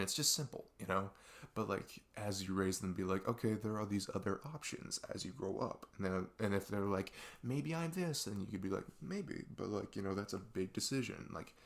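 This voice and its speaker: Man playing male best friend, audio roleplay, fry-heavy voice, slightly deep, rambling, earnest, filler-heavy, conversational. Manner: rambling, halting, filler-heavy, laughs self-consciously. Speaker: ASMR audio roleplay performer. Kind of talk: casual improvised-sounding roleplay monologue addressed to the listener.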